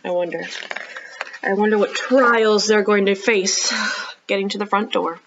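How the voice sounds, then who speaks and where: A woman hosts a read-aloud for young children. She speaks at an unhurried pace and addresses the kids directly, often inviting answers. The sound is a little dull and lo-fi. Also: mid-range voice, fairly even intonation, noisy background. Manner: child-directed, asking questions and pausing for answers. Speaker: children's librarian hosting storytime.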